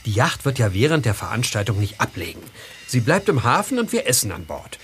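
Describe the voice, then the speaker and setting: A man plays a young, cautious male character in an audio drama. He is earnest and explanatory, with a middle pitch that moves a lot, over faint background noise. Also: sing-song, a little fast, natural voice. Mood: planning. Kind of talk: German audio drama acting.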